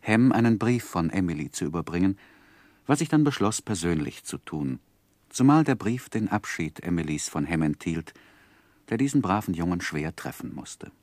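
An actor playing a reflective, grieving gentleman who narrates his life story in a measured, literary radio play style. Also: low-pitched, sing-song, no room sound. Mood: solemn grief. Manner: measured, solemn, sorrowful.

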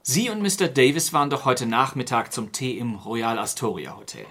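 Suave gentleman detective, animated. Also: medium pitch, no room sound. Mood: probing. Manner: urbane, measured, smug. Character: suave, teasing gentleman detective, calmly clever husband. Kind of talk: old-fashioned detective radio play acting.

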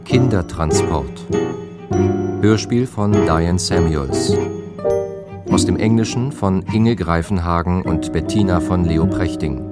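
A deep male announcer reads scripted radio play credits at a slow, measured pace. Prominent tinkling music runs under his voice.

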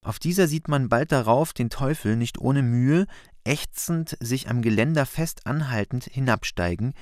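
Man, mid-pitched, measured scripted narration, literary stage directions, close dry recording. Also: a little mellow, dry recording. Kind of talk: scripted radio play narration.

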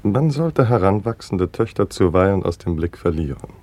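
A suave gentleman in a literary radio drama. His voice is low and deep. He phrases everything in a courtly, measured and formal way. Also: animated. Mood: dry, urbane. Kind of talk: literary German radio play acting.

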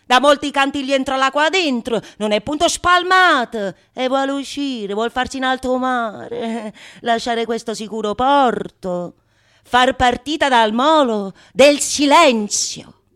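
A woman's voice: high-pitched, unhurried, smooth. A woman playing a harried, indignant stage player who delivers a comic prologue in emphatic radio-theatre style. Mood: loud, ranting. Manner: loud, indignant, emphatic declamation.

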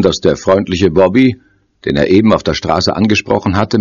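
Male narrator for thriller radio play; deep, raspy, dry studio sound, slightly muffled. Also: no echo. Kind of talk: scripted dramatic narration.

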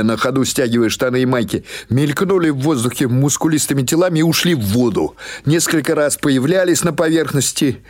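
A man's voice: medium pitch, a little mellow. A man reading literary fiction aloud as a scripted audiobook narration.

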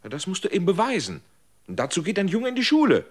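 A man's voice: high voice, wildly swooping pitch, fast talker, slightly rough, heavily acted. An actor playing an earnest man who asks probing questions and lectures in a radio drama.

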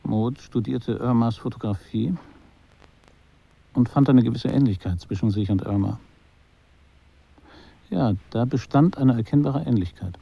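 An actor narrating a German radio drama as a detached, quietly observant storyteller, measured and understated. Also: male voice, mid-range voice, vocal fry, breathy edge, highs cut off.

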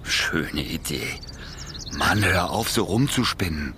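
Deep voice, hesitant petty crook, colloquial, skeptical, slow on the uptake, radio crime comedy, slight background noise. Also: male voice, expressive, sharp tone, heavily acted. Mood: wary, skeptical. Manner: colloquial, plainspoken, hesitant, muttering exclamations. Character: doubtful, wary male petty crook, slow to catch on.

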